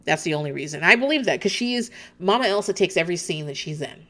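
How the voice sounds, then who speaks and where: Chatty lower-voiced woman swearing freely. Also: animated, quick pace, a bit bright. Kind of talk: casual reality TV recap chat.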